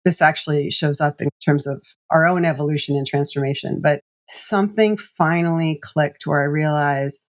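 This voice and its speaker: A woman executive coach telling stories as a podcast guest, animated and laughing often. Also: sits low, moderate pace, few pauses.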